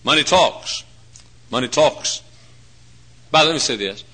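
A man in his forties preaching a folksy, humorous sermon, swinging between hushed asides and loud emphatic points. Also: on the high side, expressive, moderate pace. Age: 40s. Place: church.

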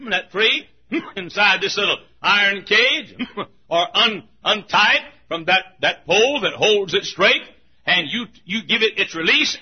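An older man preaching a sermon in a church, swinging from hushed asides to shouting and chuckling through his lines. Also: high-pitched, slightly rough. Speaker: pastor. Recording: muffled lo-fi recording.

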